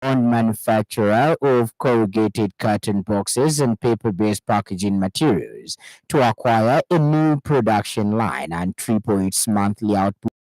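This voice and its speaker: A man with a Zambian accent reading a scripted radio news bulletin in a formal, measured manner. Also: fairly low pitch, lively intonation, a little mellow, dry recording, rough recording. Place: radio studio in Lusaka.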